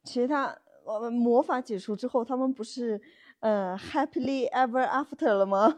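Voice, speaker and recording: A woman's voice: on the high side, moderate pace, darkish tone. Woman chatting in casual storytelling style. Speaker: podcast co-host.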